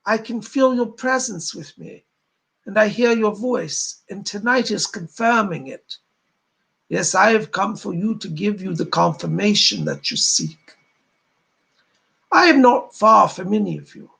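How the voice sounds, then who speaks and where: A man as a high-pitched, gravelly spirit guide. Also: big pitch swings, a little slow, a bit bright, exaggerated voice. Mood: benevolent, reassuring. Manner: formal, old-fashioned, oratorical phrasing. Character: solemn, benevolent male spirit guide named Alfred. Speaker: trance medium speaking as a spirit. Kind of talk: séance address, sermon-like.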